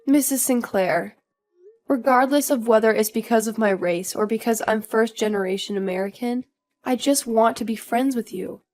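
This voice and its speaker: A woman's voice: a little high, normal speed, a little mellow, soft background music, natural voice. A woman playing an earnest young daughter-in-law who is polite but firm, in a scripted audio story. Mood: earnest, pleading. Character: earnest, indignant young daughter-in-law standing her ground.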